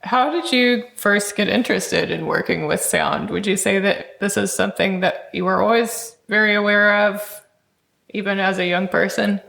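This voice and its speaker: A woman interviews as a podcast host in a medium-pitched voice with plenty of vocal fry. Her questions are measured and articulate, scholarly yet conversational. The recording has a clear room echo. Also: a bit bright. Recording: echoey, roomy sound.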